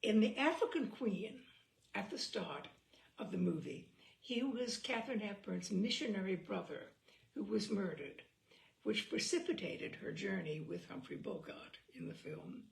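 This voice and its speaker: A woman host reading a humorous literary essay aloud with theatrical, deliberate, carefully phrased delivery. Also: mid-range voice, animated, gravelly. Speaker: host and reader. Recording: roomy echo, distant microphone.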